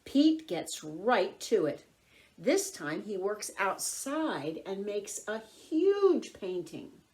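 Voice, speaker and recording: Slow, animated woman reading to children. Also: medium pitch. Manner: expressive, deliberate, clearly phrased. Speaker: volunteer children's story reader. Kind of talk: read-aloud picture book narration for young children.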